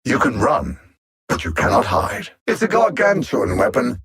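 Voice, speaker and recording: A coldly superior alien supervillain from a video game, in a British accent. His delivery is theatrical and sardonic, swinging widely in pitch. The voice is husky, with pompous, carefully placed diction. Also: wildly swooping pitch, normal speed, fairly bright tone, exaggerated voice. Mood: gloating. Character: haughty alien supervillain machine intellect, coldly superior, mocking.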